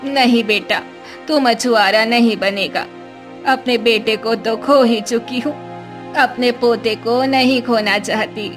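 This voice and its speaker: A woman voicing a worried elderly grandmother in a cartoon story, pleading slowly and protectively. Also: a little high, steady tone, over music. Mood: anxious.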